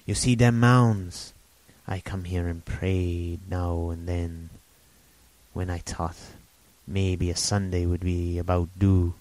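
A man voicing a half-wild marooned old sailor who rambles slyly in rough sailor grammar, in an audiobook reading. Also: sits low, steady tone, slow, darkish tone, low-quality recording. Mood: rambling. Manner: rambling, sly, confiding, with rustic sailor grammar. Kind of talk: audiobook character voice.

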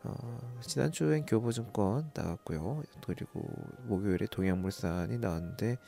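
A man talks on his own as the host of a stock tip broadcast. His voice sits fairly low and has a strong gravelly fry. He keeps things polite and plain-spoken, pausing hesitantly as he goes. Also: lively intonation, dark tone, soft background music. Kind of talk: informal solo stock recommendation talk.

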